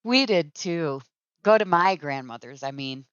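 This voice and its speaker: Unhurried, expressive radio wife. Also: female voice, low-pitched, smooth. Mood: playful. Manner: soothing, drily teasing. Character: patient, gently teasing middle-aged wife and mother. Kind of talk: old-time radio family comedy-drama acting. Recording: dull, roomy old radio recording.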